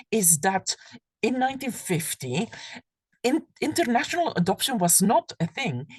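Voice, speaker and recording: Husky woman giving a lecture. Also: medium pitch, darkish tone, dry recording. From Belgium. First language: Flemish. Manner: thoughtful, restarts phrases while searching for words. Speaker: academic lecturer. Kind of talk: university lecture.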